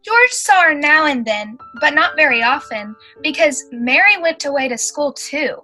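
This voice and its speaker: Lady narrating a radio play from script, high n really bright sharp voice. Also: lively intonation, moderate pace, soft background music, rough recording.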